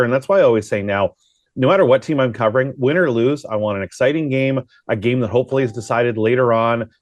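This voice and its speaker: A man's voice: mid-range voice, dry recording. Talkative man giving sports analysis, dry close sound. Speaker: sports broadcaster.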